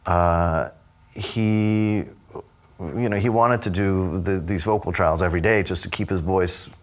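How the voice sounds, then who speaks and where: A man speaking in a casual studio conversation as a producer, halting with frequent fillers and restarts. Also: low voice. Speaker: record producer.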